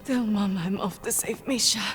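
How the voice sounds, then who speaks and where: An actress playing a spirited, caring young daughter with a wry manner in a video game cutscene. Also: female voice, medium pitch, moderate pace, close to an everyday voice. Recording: music behind the voice. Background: music.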